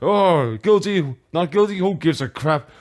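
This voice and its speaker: A man's voice: fairly high pitch, animated, unhurried. A man doing a comic, improvised dramatic reading with giggly, profane asides. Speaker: amateur voice-over reader.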